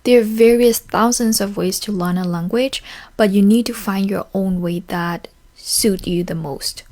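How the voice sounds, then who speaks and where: A young woman who is a graduate student and hosts a podcast. She talks in a friendly, clear and unhurried way, with a few fillers and restarts. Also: mid-range voice, darkish tone. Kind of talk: casual chat, then a solo talk for learners.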